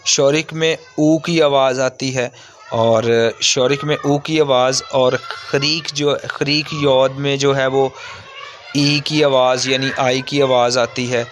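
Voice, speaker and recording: Slow-paced man teaching, noisy recording. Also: mid-range voice. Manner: halting, repetitive explaining. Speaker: language teacher. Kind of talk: informal step-by-step lesson on vowel signs. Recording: clearly noisy background.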